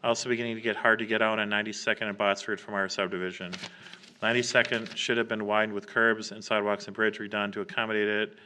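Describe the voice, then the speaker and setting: A man chairing a public meeting in an informal, halting way, reading out names and written comments. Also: on the low side, little pitch movement, bright, forceful tone, slight background noise. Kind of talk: running a public meeting, reading emails aloud. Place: council chamber, public meeting.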